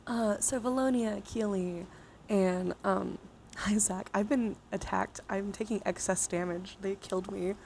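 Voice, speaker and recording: A woman's voice: medium pitch, steady tone, measured pace, gravelly, darkish tone, a little hiss or hum. A woman hosting a livestream, chatting casually with viewers in a playful, giggly way and swearing freely. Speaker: livestream host. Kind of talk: casual livestream chat with viewers during a dice game.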